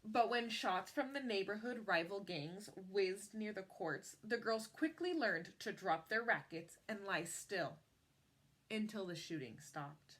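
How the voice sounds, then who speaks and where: Bright-voiced woman reading a story aloud. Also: medium pitch, expressive, measured pace. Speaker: picture book reader. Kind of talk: expressive read-aloud storytelling.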